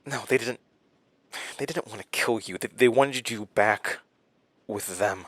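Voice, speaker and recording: An actor playing a haunted, weary, wry man who confides his story haltingly in a horror audio drama. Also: lowish voice, fairly bright tone, no echo. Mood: urgent, pained. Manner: hesitant, confessional, halting. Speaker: lead character and narrator of a horror audio drama. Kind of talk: horror audio drama acting, narration and intimate dialogue.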